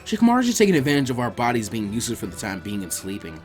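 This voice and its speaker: Frank, weary teenage ninja girl for fiction reading, done by a man, earnest, brisk delivery. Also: mid-range voice, huge pitch swings, soft background music, pitched below the performer's own voice. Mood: earnest recounting.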